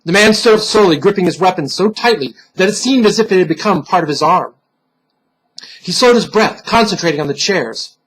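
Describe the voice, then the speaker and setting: Male storyteller for gothic horror, husky and higher-pitched, solemn theatrical delivery that sinks into hushed moments. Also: poor audio. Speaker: storyteller, reader.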